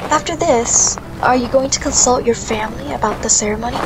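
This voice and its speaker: Woman acting a high-pitched teasing friend. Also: brisk, natural voice. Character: teasing, curious female friend of a bride. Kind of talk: radio drama acting. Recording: heavy background noise.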